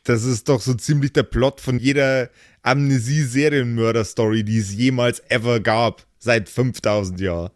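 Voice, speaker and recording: A man's voice: mid-range voice, steady tone, moderate pace, no room sound. A man game mastering an improvised tabletop session in casual, slangy talk with dry exaggeration. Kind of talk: casual improvised tabletop role-play banter.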